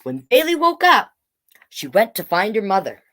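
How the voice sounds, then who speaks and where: A woman's voice: medium pitch, wildly swooping pitch, normal speed, fairly bright tone. A woman hosting a storytime, reading a children's book aloud expressively and coaxing young listeners with little asides. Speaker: storytime host, drag king performer.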